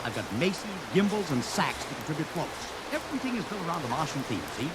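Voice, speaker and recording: A man's voice: on the high side, sing-song, fast. An actor playing a brash, wheeling-dealing publicity promoter in an old radio drama, talking in salesman patter. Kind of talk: 1940s radio acting. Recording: old radio recording with loud hiss and rain.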